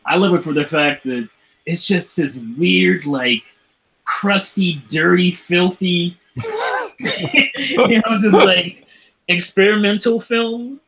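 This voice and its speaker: A man chatting casually as a podcast host, riffing in an animated, profane way and often chuckling. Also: high voice, takes it slowly, low-quality recording. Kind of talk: casual film banter.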